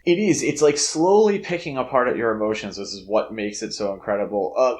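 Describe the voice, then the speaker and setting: A man's voice: mid-range voice, brisk. A man co-hosting a podcast, discussing films casually in an emphatic, opinionated way. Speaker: podcast co-host.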